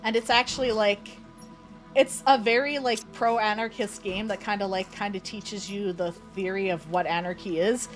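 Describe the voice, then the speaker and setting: A woman's voice: mid-range voice, steady tone, moderate pace, faint music behind, poor audio. A young woman, chatting bluntly and opinionatedly while playing a game. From Canada. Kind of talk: casual gaming chat.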